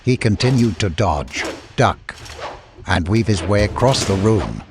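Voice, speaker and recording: A man's voice: lowish voice, expressive, a little slow, noisy background. A man reading scripted fiction as a narrator, in dramatic audiobook style.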